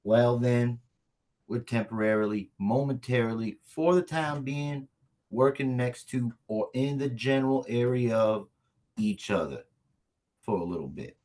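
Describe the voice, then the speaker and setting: A man in a script table read playing a brash, streetwise agent out for revenge, talking slangy and cocky with a husky voice. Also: mid-range voice, measured pace, darkish tone, natural voice. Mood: cocky, wheedling. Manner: slangy, profane, stubborn, cocky. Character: brash, vengeful, hard-drinking lone agent, male, streetwise. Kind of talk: virtual table read of a comedy screenplay.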